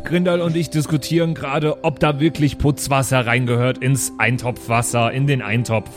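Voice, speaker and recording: A man's voice: medium pitch, little pitch movement, normal speed, music in the background, natural voice. A man playing a fretful, naive dwarf brother in improvised tabletop role-play, chatty, fussy and whining.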